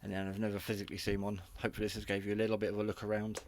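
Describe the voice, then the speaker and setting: A man with a fairly low voice talks through a casual product review. He is unscripted and rambles a little, staying matter-of-fact and modest about his own know-how. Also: monotone, racing. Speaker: hobby radio reviewer.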